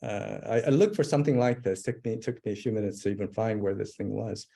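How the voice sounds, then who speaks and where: A man's voice: fairly low pitch, fast, creaky, mellow, husky. A man presenting a live webinar demo, thinking aloud as he types, with frequent fillers and restarts. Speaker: presenter demonstrating software.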